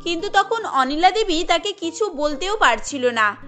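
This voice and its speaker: A woman narrates a cartoon tale from a script. Her voice is high and bright, with music playing underneath. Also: expressive, normal speed.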